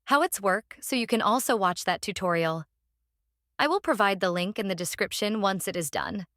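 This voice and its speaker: Woman doing casual software tutorial voiceover, mid pitch, kinda halting step by step, dry no echo. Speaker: tutorial presenter.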